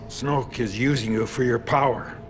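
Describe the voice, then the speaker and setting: Rugged older dad, male actor, medium pitch, earnest and plain-spoken, loud score underneath. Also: steady tone, normal speed, lightly acted. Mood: pleading. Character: pleading, weary older father, rugged and earnest. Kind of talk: cinematic video game acting. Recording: loud music behind.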